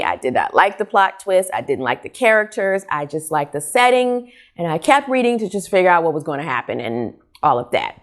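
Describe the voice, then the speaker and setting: Casting: American woman, middle-aged, lowish voice, candid and opinionated book vlogger, conversational home-video delivery. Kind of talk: casual book review chat to camera. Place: small furnished room at home, indoors.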